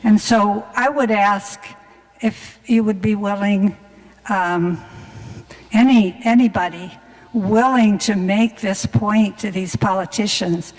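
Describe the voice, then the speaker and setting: Woman in the audience, earnest, mid pitch, echoey hall. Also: slow. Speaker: audience member asking a question. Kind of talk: question from the floor. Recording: reverberant hall.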